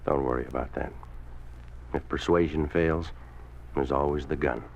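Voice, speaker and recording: A muffled, noisy 1950s radio recording carries an authoritarian American party boss. His voice sits very low. He speaks in measured, clipped commands with cold control. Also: steady tone. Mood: quietly menacing. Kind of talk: 1950s radio drama acting.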